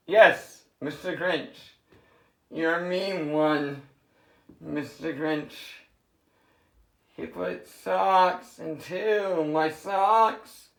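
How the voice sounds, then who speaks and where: A man from San Diego with a rough, worn voice, rambling through a half-sung freestyle and chatty, swearing talk at home. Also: high voice, roomy sound. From San Diego, California. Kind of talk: improvised singing and casual vlog talk.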